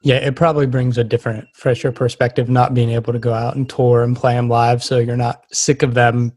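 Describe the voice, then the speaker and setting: A man's voice: mid-range voice, little pitch movement, brisk, darkish tone, dry recording. A man speaking as a podcast host in a casual, conversational interview style.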